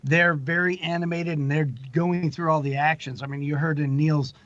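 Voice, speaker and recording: A man with a slightly high voice chats casually as an interview guest. He explains his production work in a rambling, anecdotal way. The audio is dry and a little dull. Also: little pitch movement, moderate pace, a bit bright, no room sound.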